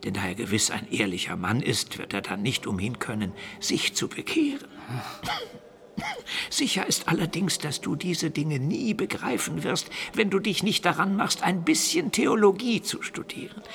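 Gravelly, frail old bishop. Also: male voice, a little high, big pitch swings, a bit bright, heavily acted. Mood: patient, instructive. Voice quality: gravelly, breathy, often dropping to a whisper. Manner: measured, didactic, coughing. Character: elderly sickly medieval bishop, learned, wry, fatherly. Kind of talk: radio play acting.

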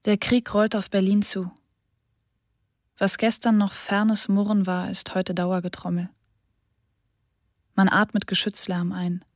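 A woman reading a first-person account in a composed, measured radio drama narration. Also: mid-range voice, flat delivery, dry recording, clean studio sound. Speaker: reader voicing a diarist.

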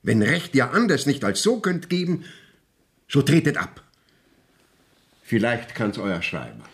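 Stern official inspector for a radio play, mid voice, very proper and impatient, lots of pitch movement. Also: male voice, sing-song, measured pace. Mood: stern, disapproving. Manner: formal, precise, impatient. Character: stern, educated visiting court inspector. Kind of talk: formal classical radio play acting.

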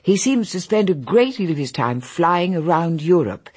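Husky, low-voiced genteel old Englishwoman. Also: big pitch swings, normal speed, a little mellow. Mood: worried, confiding. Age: older. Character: genteel, anxious, tactful old English grandmother. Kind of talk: radio drama acting.

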